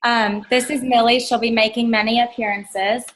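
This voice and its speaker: A hoarse young woman from North Carolina, a graduate student introducing herself to her class over a video call, chatty and laughing between points. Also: a little high, level tone, moderate pace, a bit bright, a little hiss or hum. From North Carolina, USA.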